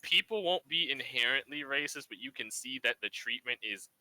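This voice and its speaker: Sharp, bright-voiced man on a podcast. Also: mid-range voice, lively intonation, normal speed, no room sound, rough recording. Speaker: podcast panelist who streams. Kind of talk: casual opinionated group chat.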